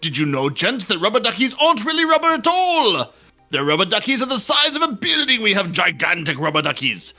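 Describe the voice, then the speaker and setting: A man putting on a loud, excitable English TV newscaster with a hammy delivery. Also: high voice, brisk, faint music behind, voice pushed up, full character voice. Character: shouty English male TV newscaster.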